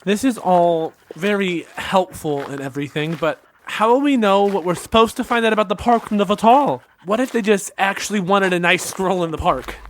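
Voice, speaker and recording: A woman's voice: mid-range voice, moderate pace, hardly any pauses, a little hiss or hum, lightly acted. A woman playing a nervous, hopeful young member of a group of friends in an audio drama. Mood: questioning.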